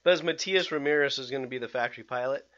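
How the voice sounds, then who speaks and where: Excitable rambling man, dull lo-fi sound. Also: medium pitch, racing. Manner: rambling, excitable, many restarts and fillers. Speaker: podcast host. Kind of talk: casual podcast chat.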